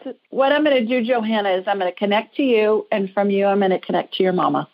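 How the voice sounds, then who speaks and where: A woman's voice: mid-range voice, fast. A woman with an American accent hosting a call-in psychic show, talking in a chatty, informal, reassuring way on lo-fi audio. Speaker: host, psychic call-in reader. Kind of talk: conversational call-in show readings. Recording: dull lo-fi audio.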